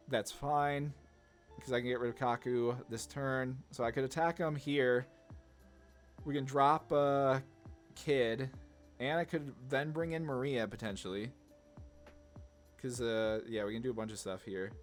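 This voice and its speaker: A man giving casual, rambling commentary on a card game, thinking aloud in slangy, informal speech. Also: medium pitch. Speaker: gaming content creator. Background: background music.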